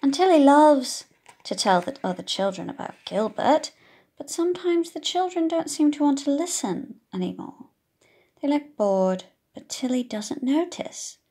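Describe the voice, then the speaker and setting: Slow, high-voiced woman reading a children's story. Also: darkish tone. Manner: engaging, questioning, teacherly. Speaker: audiobook reader for children. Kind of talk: expressive read-aloud storytelling with asides to young listeners.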